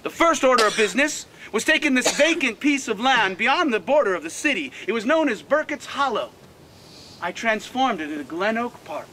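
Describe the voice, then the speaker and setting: A man's voice: extremely high pitch, big pitch swings, normal speed. A man playing a proud nineteenth-century park designer, telling his life story earnestly to a tour group outdoors. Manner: earnest, emphatic, addresses the crowd directly. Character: proud old Swiss-born park designer. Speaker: costumed tour guide, historical reenactor. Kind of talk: first-person living-history storytelling. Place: outdoors by a prairie on a walking tour.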